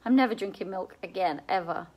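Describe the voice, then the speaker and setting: A woman's voice: medium pitch, expressive, a little fast, muffled recording. A woman voicing a blunt, wisecracking young boy who complains his way through a storybook adventure. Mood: grumbling.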